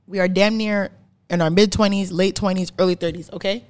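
A woman's voice: mid-range voice, steady tone, brisk. Emphatic young woman chatting casually. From Canada. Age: 20s. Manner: emphatic, repeats words for stress, swears freely. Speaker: podcast host. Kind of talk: casual chat, opinionated explaining.

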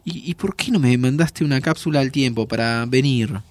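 An actor playing a sympathetic, curious man visiting his friend in an audio drama, with a Rioplatense accent and an informal, expressive delivery. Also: mid-range voice, normal speed, dry recording, natural voice. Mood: concerned. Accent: Rioplatense Argentine. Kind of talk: naturalistic audio drama dialogue.

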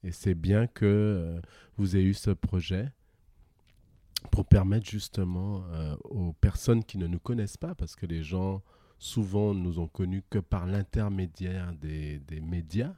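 A man's voice: sits low, a little slow, dark tone, dry recording. A middle-aged man from Guadeloupe, a guest in a casual interview, talking hesitantly with many restarts and easy laughter.

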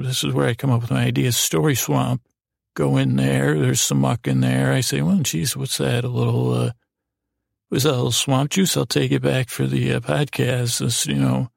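A man hosting a podcast in a lulling, meandering monologue, halting and self-deprecating, with many false starts. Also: mid-range voice, creaky, no room sound, clean studio sound. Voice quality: lulling, soothing tone. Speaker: podcast host. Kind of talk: rambling monologue meant to lull listeners to sleep.

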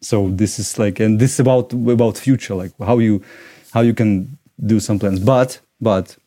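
Low, mellow Ukrainian man, halting English. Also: fairly even intonation. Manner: halting, searching for words in non-native English. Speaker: podcast guest. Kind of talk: conversational interview answers.

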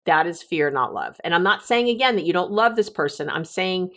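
A woman's voice: fairly low pitch, expressive, brisk, a bit bright. Chatty, self-joking woman podcast host. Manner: chatty, joking, laughs at herself, frequent restarts. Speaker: podcast host giving relationship advice. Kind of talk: casual solo podcast talk.

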